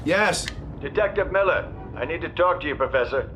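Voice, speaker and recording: A man's voice: on the high side, expressive, fairly quick, over loud music, close to an everyday voice. Suspicious, sardonic police detective played by a man. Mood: insinuating. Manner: probing, sardonic. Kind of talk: audio drama acting.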